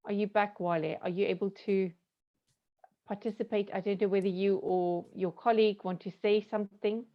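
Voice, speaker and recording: A woman's voice: mid-range voice, fairly even intonation, moderate pace, dull audio. A woman chairing an academic panel over a video call, calling on speakers in a courteous, direct way. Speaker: session chair.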